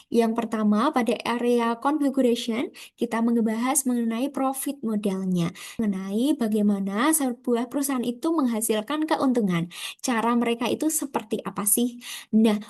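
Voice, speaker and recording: A woman's voice: on the high side, darkish tone. An Indonesian woman giving an informal student presentation, explaining a business case in a conversational way with rhetorical questions to her listeners.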